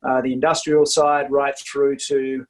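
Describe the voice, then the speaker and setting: A man's voice: mid-range voice, level tone, a little slow. An Australian man with an Australian accent, a podcast guest explaining his company's technology, filling gaps with many ums. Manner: hesitant, many ums and uhs. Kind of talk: casual interview.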